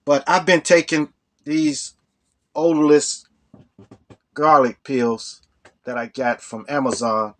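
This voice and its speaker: A hoarse man with a cold telling a rambling personal story as the host of a storytelling channel. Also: mid-range voice, slow pace, pauses often, a little hiss or hum. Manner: rambling, full of "you know". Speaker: storytelling vlogger. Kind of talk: casual storytelling.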